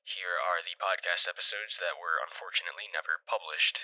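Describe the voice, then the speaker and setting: Low, hushed actor as a man, phone-like sound. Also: fairly even intonation, fairly quick, close to an everyday voice. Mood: subdued, secretive. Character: solemn archivist presenting a file of found tapes. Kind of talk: found-footage audio drama introduction. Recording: muffled, narrow phone-like tape sound.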